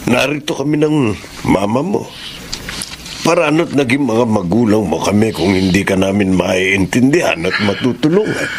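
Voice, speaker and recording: A man's voice: on the low side, expressive, moderate pace, a little hiss or hum, lightly acted. An actor with a Filipino accent playing a caring, protective middle-aged father in a radio drama, earnest and reassuring. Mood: gentle concern. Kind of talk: Tagalog radio drama acting. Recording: music under the dialogue.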